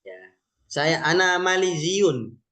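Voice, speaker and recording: A man teaching Arabic vocabulary in an online lesson, explaining slowly and patiently with many pauses and fillers. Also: a little high, animated, pushed and bright.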